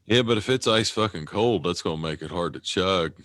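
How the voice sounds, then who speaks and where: Low-voiced man, 30s, podcast co-host; loose, profane, self-mocking, dry mic. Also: brisk, a bit bright, dry recording. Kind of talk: casual chat.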